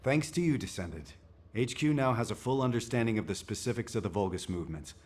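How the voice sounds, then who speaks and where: A man's voice: mid-range voice, animated, fast, a little mellow, natural voice. A man playing a composed, wryly good-humoured military commander in a video game. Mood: wry, calm.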